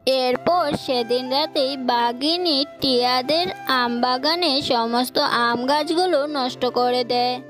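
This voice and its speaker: A woman reads a children's story as narrator. Her voice is high and her pace slow, with music playing clearly behind her. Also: a bit bright.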